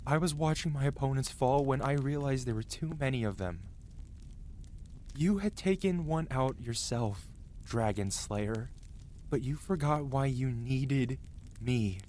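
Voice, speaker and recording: A man's voice: medium pitch, darkish tone, a little hiss or hum, close to an everyday voice. A man voicing an angry yet protective dragon who scolds a rider in a dramatic, emotional fantasy ASMR roleplay. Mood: accusing, upset.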